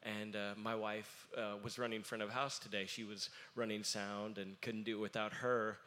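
A young man in a family band, speaking earnestly at a panel talk with many pauses and fillers. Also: on the low side, flat delivery, pushed and bright, slight background noise.

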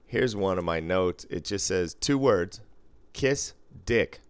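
A man's voice: low-pitched, animated, unhurried, smooth, dry recording. A man in a casual, joking conversation. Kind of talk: casual comedic chat.

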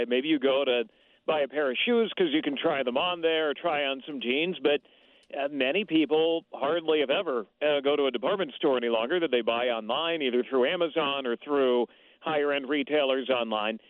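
A man's voice: mid-range voice, a little fast, dry recording. A man calling in as a guest reporter, steadily explaining retail news with frequent "uh" fillers. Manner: steady, thorough, with many "uh" fillers. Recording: thin phone line.

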